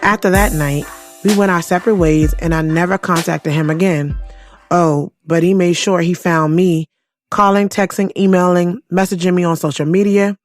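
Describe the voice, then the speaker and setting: A woman's voice: on the low side, steady tone, moderate pace, faint music behind, close to an everyday voice. A woman playing a sassy young writer, telling her life story frankly and conversationally in an audio drama, with some cursing. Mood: exasperated, frank. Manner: frank, profane, confiding.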